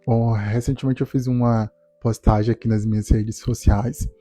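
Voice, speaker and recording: A man, telling his life story to camera in a calm, reflective way. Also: mid-range voice, faint music behind. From Salvador, Brazil. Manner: calm, reflective, measured delivery. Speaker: speech therapist and doctoral student. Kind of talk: personal testimony to camera.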